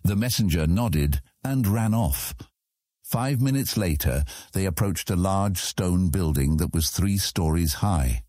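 Deep, husky male fantasy narrator. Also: expressive, measured pace, warm tone, dry recording, studio quality. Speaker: audiobook narrator.

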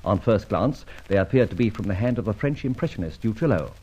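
A man playing a suave, wry and commanding gentleman adventurer in a vintage radio adventure serial. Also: on the low side, expressive, fast talker, slightly rough. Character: suave, self-assured gentleman adventurer and amateur sleuth. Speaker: narrator and lead actor. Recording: dull old radio recording, music behind.

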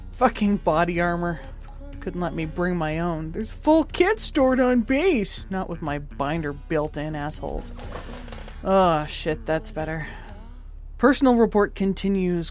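A woman plays a gruff moonbase security officer muttering a personal log in an audio drama. The voice sits a little low, blunt and sardonic, with music playing behind it. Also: lightly acted. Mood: irritated.

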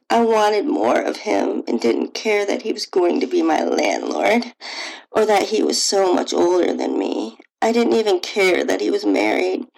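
Slow, low-voiced woman narrating erotica. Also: vocal fry, darkish tone, rough recording. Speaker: podcast host and author reading own story. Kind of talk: first-person erotic story narration.

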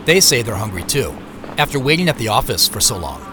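An actor narrating an audio drama in the first person as a reflective man recounting his day in a measured, scripted way. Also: medium pitch, sing-song, over music, close to an everyday voice.

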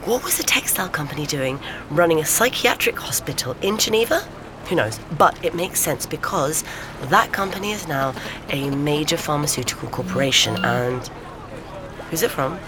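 An eager lady sleuth in an audio drama, animated and pushy, chatty delivery, clear noise behind her. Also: low voice, normal speed. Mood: intrigued. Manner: animated, insistent, conversational. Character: eager, theorizing woman, amateur sleuth and friend. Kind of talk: naturalistic audio drama dialogue. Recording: clear background ambience noise.

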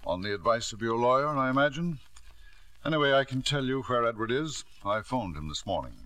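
An actor playing a wealthy, controlling middle-aged husband with a suave, clipped manner in a 1950s radio drama. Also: male voice, medium pitch, animated, brisk, sharp tone. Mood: cool, challenging. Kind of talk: 1950s radio drama acting with first-person narration. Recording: vintage 1950s radio broadcast.

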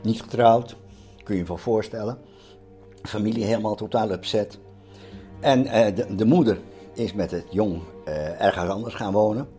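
An older man giving a personal account in an interview, in hesitant phrases with pauses. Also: lowish voice, mellow. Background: music underneath.

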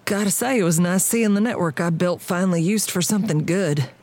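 A woman's voice: lowish voice, a little fast, darkish tone, natural voice. An actress playing a confident, sly, folksy American businesswoman in an audio drama. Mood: smug, flirtatious. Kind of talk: radio drama acting.

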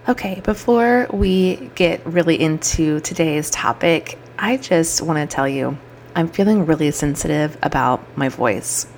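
A woman's voice: deep voice, a little slow, a little mellow. A woman in her thirties hosting her own podcast, talking in an upbeat, chatty way, her throat a little scratchy and froggy. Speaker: podcast host. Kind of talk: solo podcast monologue.

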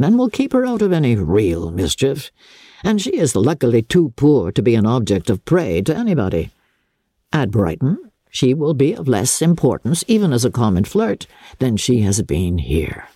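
A woman voicing a calm, wry, affectionate older gentleman father, measured and dryly reassuring, in a classic novel reading. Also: very deep voice, slightly rough, no echo, studio quality, exaggerated voice.

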